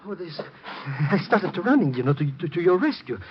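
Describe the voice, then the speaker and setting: A man playing an excitable, cowardly, greedy sidekick who stammers and wheedles in an old radio drama. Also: high-pitched, a little fast, slightly rough, heavily acted. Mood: nervous, bluffing. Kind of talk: 1950s radio drama acting. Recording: muffled, noisy 1950s radio recording.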